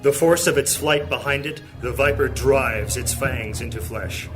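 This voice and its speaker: Man, mid-pitched, dramatic fantasy narration, scripted storytelling, music behind. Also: unhurried.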